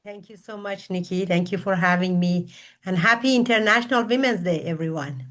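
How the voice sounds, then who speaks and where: A woman's voice: fairly low pitch, brisk, fairly bright tone. A middle-aged woman from Iran with an Iranian accent, speaking earnestly and formally as a panelist on a video call. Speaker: panelist, women's empowerment coach. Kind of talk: prepared self-introduction at a virtual panel. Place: virtual summit video call.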